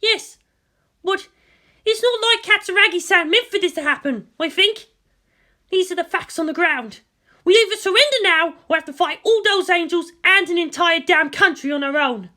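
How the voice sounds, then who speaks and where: A man voicing an earnest, gently defiant teenage girl mech pilot in a dramatic story reading. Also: very high voice, normal speed, pitched above the performer's own voice, exaggerated voice. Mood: resigned.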